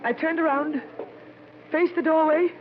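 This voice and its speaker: A woman's voice: high voice, a little slow. An actress playing an anxious, determined young woman, a murdered doctor's daughter, in dramatic, breathless old-time radio horror style. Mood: frightened narrating. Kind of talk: 1940s radio horror acting. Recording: noisy, muffled old radio recording.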